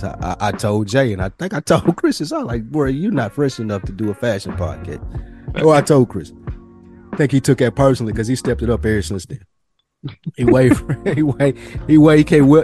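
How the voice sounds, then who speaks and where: A man with an American accent and a fairly deep voice hosts a casual podcast conversation. His talk is informal and slangy, given to teasing banter. Faint music sits behind him. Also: warm tone. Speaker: podcast host.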